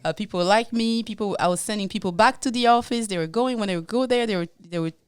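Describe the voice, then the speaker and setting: Lively French-accented woman telling her story. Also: mid-range voice, fast talker, smooth, dry recording. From Congo, raised in France. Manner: lively, laughs often, acts out quotes. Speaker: podcast co-host, realtor. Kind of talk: casual interview storytelling.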